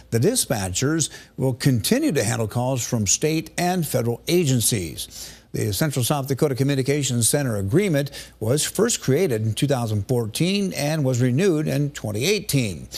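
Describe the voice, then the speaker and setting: Mid-pitched American male news anchor. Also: a little mellow. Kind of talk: broadcast news reading.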